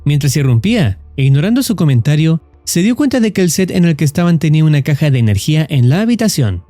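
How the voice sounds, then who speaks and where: A man's voice: a little high, big pitch swings, quick pace, dark tone, dry recording. A man reading scripted narration as the narrator of a horror story recap video. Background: background music.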